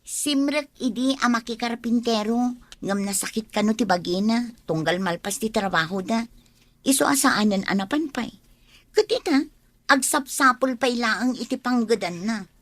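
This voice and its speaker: Radio drama role: struggling young wife and mother, Ilocano accent, high pitch, defensive, close dry sound , played by a woman. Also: normal speed, no echo, close to an everyday voice. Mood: complaining.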